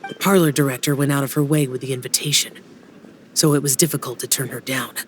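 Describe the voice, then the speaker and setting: A man's voice: mid-range voice, fairly even intonation, quick pace, slight background noise, natural voice. A man playing a reserved, brooding young immortal guardian in a dubbed fantasy game, speaking solemnly and formally, with some hesitation. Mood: reflective, melancholy. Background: soft music.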